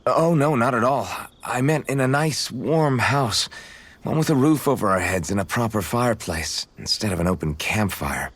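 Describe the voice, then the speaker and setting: A man playing an earnest young swordsman in a video game, gentle in manner and awkward when flustered. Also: medium pitch, expressive, normal speed, no room sound. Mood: warm, sincere. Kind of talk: video game cutscene acting.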